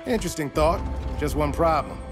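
An actor voicing a heroic, loyal young cyborg in a video game, casual, wry and confident. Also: male voice, a little high, animated, a little fast, lightly acted. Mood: defiant wisecracking. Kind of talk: video game cutscene acting. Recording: music behind the voice.

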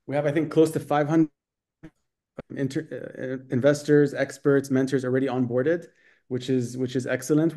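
A man in his thirties who grew up in Saudi Arabia, with a Dubai international accent from American schools, answering interview questions over a video call with quick talk and many fillers. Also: medium pitch, mellow. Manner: quick, fluent, with frequent fillers and restarts. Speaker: guest, startup accelerator executive. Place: bright room indoors. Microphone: video call webcam.

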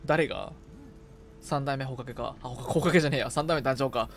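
A man's voice: mid-range voice, a little fast. A man chatting casually and playfully as he streams a co-op video game. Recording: game music behind. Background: video game music.

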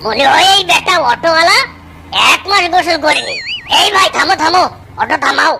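Woman acting a fussy, creaky-voiced cartoon passenger. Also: extremely high pitch, expressive, a little fast, very noisy, exaggerated voice. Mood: disgusted. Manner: exclamatory, complaining. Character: fussy, easily disgusted cartoon auto-rickshaw passenger. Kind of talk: exaggerated children's cartoon acting. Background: loud music.

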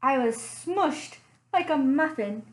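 A young woman reading a picture book aloud for children, slow and expressive with playful sound effects, recorded on a webcam in a small room at home. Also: high-pitched. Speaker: children's librarian. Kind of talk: storytime read-aloud.

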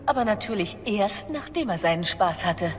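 A woman's voice: mid-range voice, expressive, moderate pace, lightly acted. A woman voicing a wary, wronged young bard in a video game, with guarded, questioning delivery. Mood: bitter, guarded. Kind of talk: dramatic video game voice acting. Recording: long reverb, music behind.

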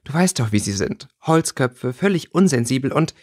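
A man's voice: medium pitch, animated, moderate pace, darkish tone, lightly acted. A man voicing a caring, hesitant young schoolgirl in a storybook reading, speaking carefully. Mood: consoling.

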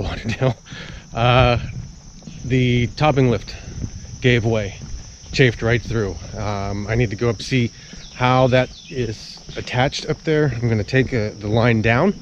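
A man's voice: mid-range voice, dull audio. A man, around his forties, chatting casually and thinking aloud as he vlogs on a handheld camera. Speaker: sailing vlogger. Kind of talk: casual vlog narration while working. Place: aboard a boat and outdoors at a marina. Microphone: handheld camera at arm's length. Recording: noisy handheld action-camera audio with ambient hum.

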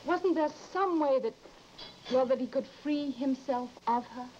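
A woman's voice: sits high, measured pace, a little mellow. A woman playing a polite, timid young wife in an old-time radio drama. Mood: anxious, puzzled. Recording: muffled, hissy vintage recording.

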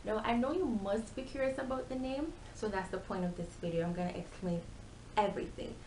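A woman's voice: mid-range voice, fairly quick, darkish tone. Young Jamaican woman vlogger, echoey room. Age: young adult. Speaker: YouTube vlogger. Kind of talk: casual chat to camera. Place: small bare-walled room indoors. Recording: echoey room with background hiss.

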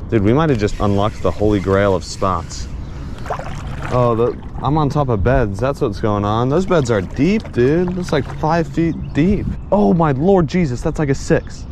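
A young man giving a casual, excited running commentary while fishing from a kayak, laughing often and calling his viewers dude. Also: mid-range voice, a little slow, background noise. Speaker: fishing vlogger. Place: outdoors on a kayak on a lake.

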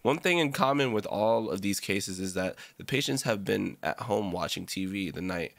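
A young American man doing a casual reaction, reading text aloud haltingly with slangy asides. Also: lowish voice, animated, vocal fry, a bit bright, dry recording. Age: young adult. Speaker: reaction video creator. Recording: prominent background guitar music. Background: prominent instrumental music with guitar.

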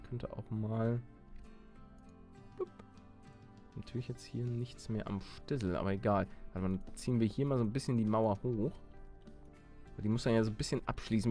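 A man giving casual, slangy gameplay commentary, chatting and exclaiming as he plays. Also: medium pitch. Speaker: let's player. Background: background music.

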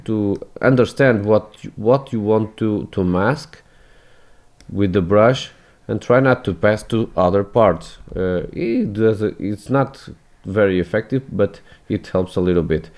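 A man whose first language is Portuguese walks through a photo edit in a screen-recorded tutorial, halting and restarting his phrases as he goes. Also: fairly low pitch, darkish tone. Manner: hesitant, many restarts, non-native phrasing.

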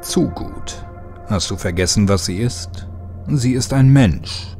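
A man voicing a menacing, scornful dark entity in a horror story, speaking in a slow, ominous, imperious way. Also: lowish voice, over music. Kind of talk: horror audiobook character voice.

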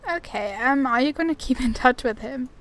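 A woman's voice: high voice, normal speed. A woman giving casual commentary as she plays a video game. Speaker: gamer, video host.

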